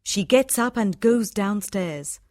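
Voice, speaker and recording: A woman reads a simple fairytale as scripted narration. Her pace is slow and measured, with short phrases set apart for learners. Her pitch sits in the middle of the female range. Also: animated, a little mellow, no echo, studio quality. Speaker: storyteller.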